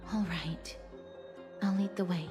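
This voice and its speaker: A woman voicing a gentle, courteous young noble lady in a video game, speaking politely, softly and formally. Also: on the low side, steady tone, slow. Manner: polite, soft-spoken, formal. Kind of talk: video game story acting. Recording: echoey, with music behind.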